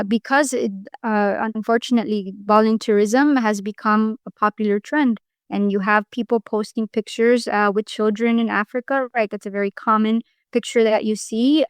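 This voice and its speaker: A woman's voice: medium pitch, smooth, no room sound, clean studio sound. A woman from Mexico, a podcast interview guest, speaking earnestly and reflectively with frequent restarts.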